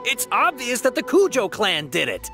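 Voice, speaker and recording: A man's voice: extremely high pitch, huge pitch swings, normal speed, over music, exaggerated voice. An actor playing an opinionated younger policeman in a video game, arguing his suspicions in an insistent, emphatic way. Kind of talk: video game voice acting, dialogue.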